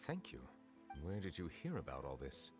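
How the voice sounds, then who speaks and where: An actor playing a reserved, dignified chief judge, a dragon in human form, speaking formally and solemnly in an anime game. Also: male voice, deep voice, huge pitch swings, normal speed, over music. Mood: calm, serious.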